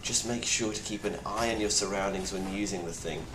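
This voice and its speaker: Male actor as weary apocalypse survivor, lowish voice, blunt and grave video testimony, noisy roomy sound. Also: brisk, lightly acted. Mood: earnest, advising. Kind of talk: in-character monologue, found-footage video diary. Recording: roomy echo with clear background noise.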